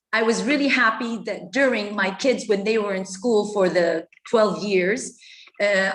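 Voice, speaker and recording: A woman's voice: medium pitch, fairly bright tone, rough recording. A woman from Egypt in her fifties, earnestly telling her own life story with many fillers and restarts. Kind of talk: personal story talk.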